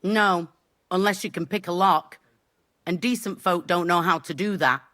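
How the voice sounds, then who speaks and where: Woman as impatient grumbling game cook, low-set, bright. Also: normal speed, lightly acted. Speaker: housewife. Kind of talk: video game voice acting.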